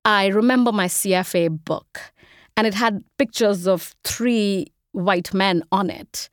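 A woman in her forties from India with an Indian accent, a guest in an interview talking in quick, animated bursts. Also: medium pitch, no echo, studio quality. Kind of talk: casual interview conversation.

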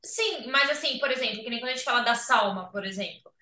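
Brazilian woman hosting a sports podcast, high bright voice, rambles and restarts, echoey room. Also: fast talker. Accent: Brazilian Portuguese. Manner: animated, rambling, thinks aloud with many restarts. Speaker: podcast host. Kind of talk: casual sports chat. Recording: roomy, a bit far from the mic.

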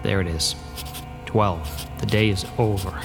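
An actor playing a weary artist in a radio drama, speaking a slow inner monologue. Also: male voice, deep voice, fairly even intonation, creaky. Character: artist haunted by a premonition, man, sweltering. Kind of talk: 1990s radio drama acting. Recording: radio play with music underneath.

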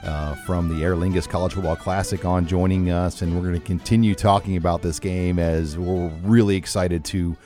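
Deep, mellow middle-aged man; enthusiastic sports talk host. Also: level tone, normal speed, hardly any pauses, soft background music. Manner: enthusiastic, chatty, some stumbles. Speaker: radio show host.

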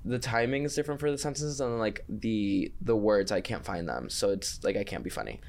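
A man from Chile, guesting on a podcast, chatting in an animated, campy, joking way. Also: fairly low pitch, brisk. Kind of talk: casual chat.

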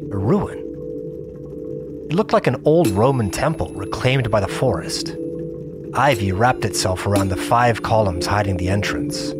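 A man playing a seasoned, weary gamer who narrates a survival adventure as a scripted first-person audio drama, in a measured, intense way. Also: on the low side. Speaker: narrator and lead character.

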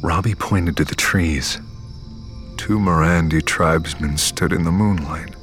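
A man acting as the narrator of an audio drama, playing a weary, haunted survivor who tells his story gravely and in measured phrases. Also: sits low, fairly bright tone, faint music behind. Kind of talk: scripted first-person audio fiction narration.

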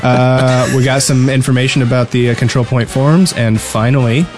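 Male lead host, medium pitch, casual teasing gamer chat, clear music underneath. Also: fairly even intonation, moderate pace. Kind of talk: casual gaming podcast chat.

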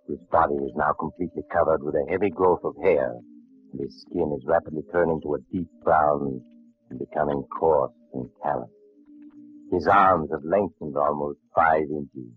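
A man acting a grave, formal doctor who narrates uncanny tales in an old radio drama, speaking deliberately. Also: a bass voice, breathy edge, full character voice. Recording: muffled old radio broadcast.

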